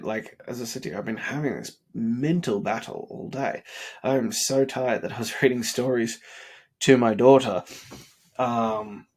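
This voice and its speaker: A man's voice: mid-range voice, a little mellow, slightly rough. Tired, congested man talking earnestly. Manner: earnest, rambling, many fillers. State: congested and sniffly. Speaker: vlogger. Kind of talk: late-night motivational vlog.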